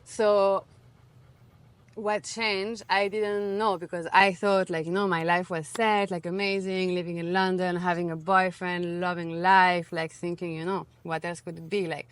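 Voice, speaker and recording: A woman in her thirties with a French accent, a guest in a relaxed interview, talking casually and full of fillers. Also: lowish voice, a little slow, clear, resonant tone, dry recording. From France. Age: 30s. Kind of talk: casual conversation.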